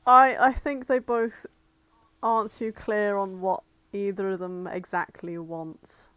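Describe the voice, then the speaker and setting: A woman's voice: mid-range voice, fairly even intonation, measured pace, a bit bright, clear, resonant tone, no room sound. A woman co-hosting a podcast in casual chat, with an opinionated, teasing manner. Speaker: podcast co-host.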